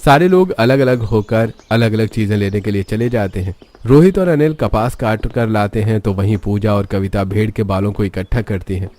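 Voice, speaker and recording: A man's voice: on the low side, moderate pace, hardly any pauses, darkish tone. A man reading scripted story narration for a cartoon tale.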